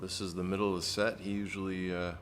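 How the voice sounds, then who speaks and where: A man giving relaxed live commentary on a pool match, explaining each shot and joking dryly. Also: low voice, fast talker, a little hiss or hum.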